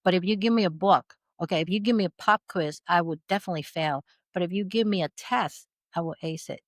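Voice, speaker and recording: A middle-aged woman with a Vietnamese accent, her voice sitting a little low for a woman. She talks as an interview guest, telling stories with animation and laughter. The recording is close and dry. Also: fast, no room sound. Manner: animated storyteller, laughs through anecdotes. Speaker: podcast guest. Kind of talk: casual interview chat.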